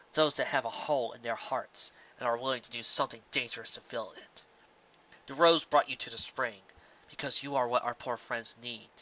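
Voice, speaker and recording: A man voicing a gentle, sad pony father in a storybook reading, speaking in a hushed, tender, earnest way. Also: medium pitch, dull audio, close to an everyday voice.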